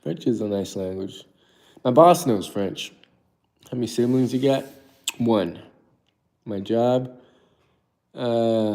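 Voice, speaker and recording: A man's voice: on the low side, vocal fry, dark tone. A young man from Turkey chatting casually on a live stream, reading out viewer questions and rambling through his answers.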